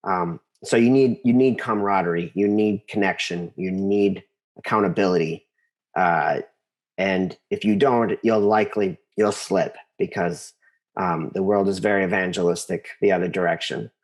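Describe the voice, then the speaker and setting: A man's voice: deep voice, normal speed. An American man in his forties speaking casually as an interview guest, thinking aloud and repeating phrases for emphasis.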